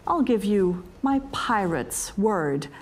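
A middle-aged woman, a keynote speaker and leadership coach, talking to camera in a scripted promotional monologue with emphatic, deliberate delivery and dramatic pauses, recorded in a studio on a lapel mic. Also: medium pitch, big pitch swings, slight background noise. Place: studio before a green screen, edited onto a virtual ship deck. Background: faint music bed.

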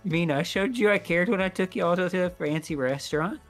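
A laid-back, teasing husband and dad acted by a man in a voiced game roleplay. His voice is a little high for a man, casual and dry. Soft music plays behind him. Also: brisk.

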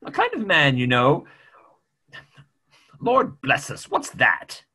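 A man playing a stiff, proper gentleman in a play reading, with formal theatrical delivery. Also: on the high side, wildly swooping pitch, takes it slowly, breathy edge, exaggerated voice. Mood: uneasy.

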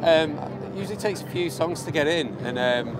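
A man's voice: on the high side, lively intonation, brisk. A man, an easygoing band member chatting plainly in an outdoor interview at night. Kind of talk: casual interview. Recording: loud music behind.

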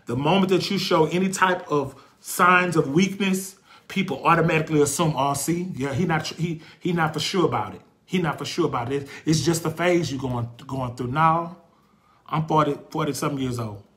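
A fervent man in his forties, his voice pitched a little high and ringing bright. He speaks like a preacher, hammering his points home through repetition. When he turns reflective, he falters and restarts. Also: normal speed. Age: 40s. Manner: passionate, preacherly, repeats phrases for emphasis. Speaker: host. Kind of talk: faith talk.

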